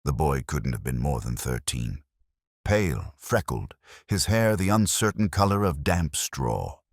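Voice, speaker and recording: A man narrating a detective mystery in the first person, with a measured, brooding, literary delivery. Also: a bass voice, sing-song, dry recording, studio quality.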